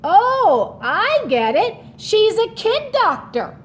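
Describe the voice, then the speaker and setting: A woman's voice: extremely high pitch, sing-song, slow pace, a little hiss or hum, pitched above the performer's own voice, full character voice. A woman voicing a curious, eager young child in a children's storybook, with an inquisitive manner. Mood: delighted realization.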